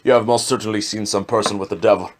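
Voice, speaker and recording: A man's voice: lowish voice, fairly even intonation, quick pace, slight background noise, natural voice. A man acting a stern, commanding magistrate in a period drama. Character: stern, authoritative colonial magistrate.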